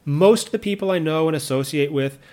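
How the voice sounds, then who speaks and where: A man's voice: fairly high pitch, fairly quick, a little mellow. A man in his thirties, an English speaker, talking earnestly and reflectively about his own experiences, with frequent restarts and asides. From United States. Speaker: vlogger living abroad. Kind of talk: reflective personal monologue.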